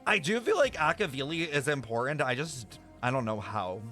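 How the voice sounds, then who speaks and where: A young man streaming a game reaction, thinking out loud with excited speculation and casual swearing. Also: medium pitch, normal speed, faint music behind.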